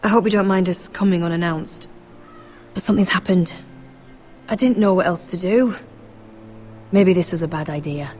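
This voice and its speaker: A woman's voice: fairly low pitch, moderate pace, long pauses, darkish tone, faint music behind, lightly acted. An actress playing a proud young noblewoman in a fantasy video game, speaking with defiant, pleading intensity. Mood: anxious, warm. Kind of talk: video game dramatic acting.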